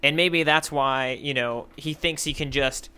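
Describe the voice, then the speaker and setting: A man's voice: a little high, fairly even intonation, a little slow, bright, forceful tone, smooth. A man co-hosting a podcast, chatting casually and thinking aloud as he reacts to a story. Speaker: podcast co-host.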